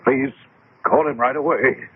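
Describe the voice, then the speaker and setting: A man's voice: fairly high pitch, big pitch swings, a little slow, gravelly, heavy background noise, exaggerated voice. An actor playing an earnest, trusting middle-aged American businessman in a radio drama. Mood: pained, gasping. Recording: dull, band-limited old radio broadcast.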